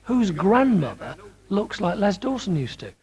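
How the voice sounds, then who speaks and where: A man's voice: sits high, big pitch swings, measured pace, a little mellow. A British man giving opinionated interview talk in an emphatic, theatrical manner.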